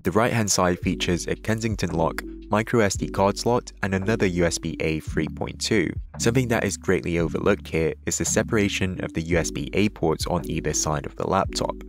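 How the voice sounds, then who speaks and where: Deep-voiced man doing a calm tech review. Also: a little fast, few pauses. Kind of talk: scripted voice-over review.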